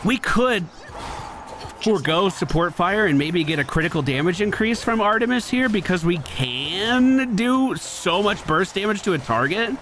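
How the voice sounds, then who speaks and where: Animated, higher-pitched man doing gameplay commentary. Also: normal speed, slight background noise. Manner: chatty, analytical, thinking aloud. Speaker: gaming video host. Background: faint game music.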